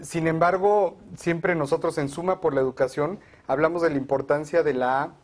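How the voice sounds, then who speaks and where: A man, a guest advocate for education, explaining proposals in a measured way on a televised discussion. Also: on the high side, fairly even intonation.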